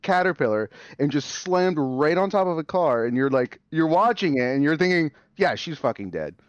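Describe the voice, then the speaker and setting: A man's voice: fairly high pitch, sing-song, moderate pace, smooth, no echo. A man co-hosting a podcast in casual, rambling, sweary talk, repeating words as he goes, on a slightly dull recording. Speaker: podcast co-host. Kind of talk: casual chat about TV and games.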